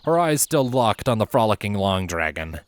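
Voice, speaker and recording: A man narrating a scripted story as a reader of fan fiction, with expressive, theatrical emphasis. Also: on the low side, normal speed.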